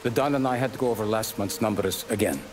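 A man voicing a composed middle-aged mob advisor who gives instructions in a measured way. His voice is low, with rain and music under it. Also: close to an everyday voice. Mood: businesslike. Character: calm middle-aged mob consigliere, businesslike. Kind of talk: video game cutscene acting.

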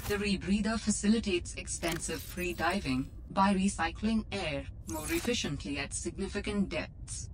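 A woman's voice: medium pitch, animated, normal speed, few pauses, lightly acted, rough recording. Woman voicing an instructional female suit computer. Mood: neutral, informative. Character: polished female suit computer reading out product blurbs.

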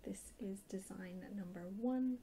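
Soft-spoken lady doing a casual asmr haul, creaky voice, some noise behind her. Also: mid-range voice, unhurried, low-quality recording. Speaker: host of an ASMR haul video channel. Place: indoors at a table, hands-only camera. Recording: clear background hiss or noise.

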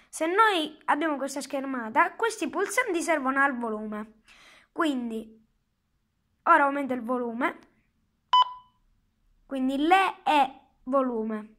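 Casual product reviewer, high voice, unhurried halting explanations. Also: pushed and bright. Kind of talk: casual hands-on product demonstration. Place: indoors at a table at home. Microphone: handheld phone.

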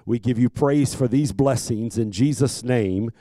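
A man in his fifties preaching a sermon as a pastor, speaking emphatically into a handheld microphone with deliberate repetition. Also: medium pitch, warm tone, dry recording. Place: church sanctuary stage at a pulpit. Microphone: handheld microphone held close.

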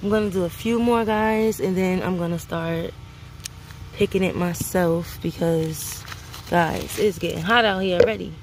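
Brooklyn lady w/ new chatting to her viewers outside in the garden, kinda low and gravelly, phone cam. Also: fairly even intonation, measured pace, a little hiss or hum. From Brooklyn, New York City. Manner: chatty, addresses viewers as guys. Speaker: homestead vlogger. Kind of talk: casual garden vlog. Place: outdoor garden in sunshine.

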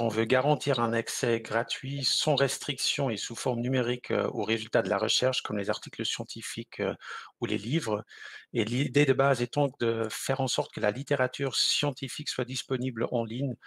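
A man, a university vice-rector explaining clearly on a video call over a headset. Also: mid-range voice, a bit bright, no room sound. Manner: clear, definitional. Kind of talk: panel answer, explanatory.

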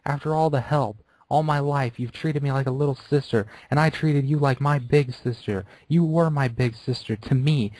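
A man's voice: mid-range voice, normal speed, hardly any pauses, darkish tone, dry recording, voice pushed up, close to an everyday voice, low-quality recording. A man voicing a young female pegasus filly who pleads tearfully with her idol. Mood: sobbing plea.

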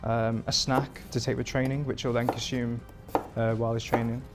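A man in his thirties, a performance chef from England, answering interview questions in a relaxed, rambling way with many fillers, in a home kitchen. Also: mid-range voice, normal speed, heavy background noise. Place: modern home kitchen indoors.

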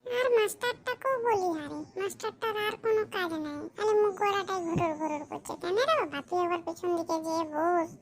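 Very high-pitched grumbling cheeky schoolboy. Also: brisk, few pauses, noisy background. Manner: colloquial, grumbling, mildly foul-mouthed. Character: cheeky grumbling schoolboy, unprepared for exam, scheming to cheat. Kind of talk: comedy cartoon monologue.

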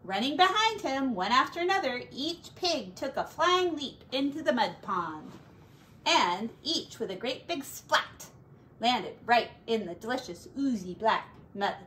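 Woman, middle-aged, high-pitched, unhurried picture-book read-aloud, teacherly, drawn-out words, faint room noise. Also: sing-song, a bit bright. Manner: slow, deliberate storytelling with drawn-out words. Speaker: teacher reading aloud to children. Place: small furnished room at home.

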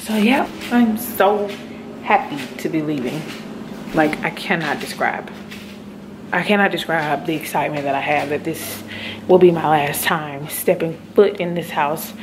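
A woman's voice: deep voice, a little slow, background noise. A woman in her thirties giving a casual, chatty tour of an empty house, talking to viewers on a handheld phone. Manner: chatty, relieved, addresses viewers as guys and y'all. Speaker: vlogger. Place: empty house, rooms and basement. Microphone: handheld phone camera.

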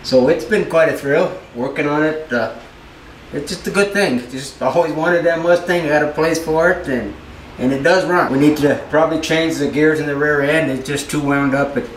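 A man's voice: a little high, normal speed, recorded from a distance, slight background noise. An older man, a classic car owner, talking in a laid-back, folksy way about his cars in a garage. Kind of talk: casual chat. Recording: roomy garage sound from off mic.

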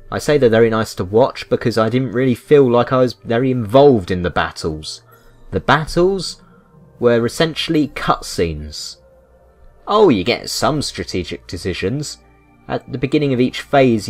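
A British man giving rambling, unscripted video game commentary with a wry, mock-indignant manner. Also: medium pitch. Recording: music behind the voice. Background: background music.

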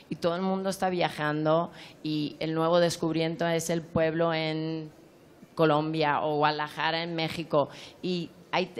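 Woman with a low voice on a handheld mic. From Iran. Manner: thoughtful, many restarts and fillers. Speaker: curator on a panel. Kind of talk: panel discussion answer. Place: large hall at an art fair. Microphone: handheld microphone.

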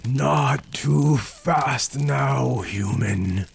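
A man voicing an ancient, crazed oak creature with a gargling, rocky, whispery rasp in a slow, drawn-out delivery. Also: mid-range voice, voice pushed up, exaggerated voice. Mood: crazed gloating. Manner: slow, drawn-out, broken by wild laughter.

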